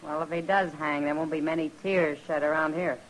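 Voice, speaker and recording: A woman's voice: low-pitched, steady tone, fairly quick, close to an everyday voice. An American actress playing a tough-minded Old West woman with a dry, wry delivery in an old-time radio western. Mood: wry, familiar. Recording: noisy vintage radio drama recording.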